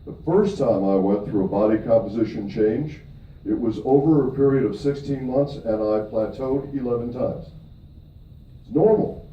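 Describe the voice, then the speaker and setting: A middle-aged man from Michigan gives a seminar talk to an audience, emphatic, with upward swings on key points and frequent check-ins of "okay?" with the room. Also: medium pitch. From Michigan, USA. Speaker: presenter at a company event. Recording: distant, echoey, dull audio with faint noise.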